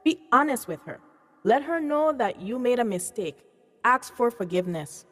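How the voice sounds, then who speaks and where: A woman playing an earnest, weary doctor in a radio drama, speaking emphatically and deliberately. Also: fairly high pitch, sing-song, a bit bright, in a large hall. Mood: earnest, reassuring. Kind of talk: radio drama acting, public address.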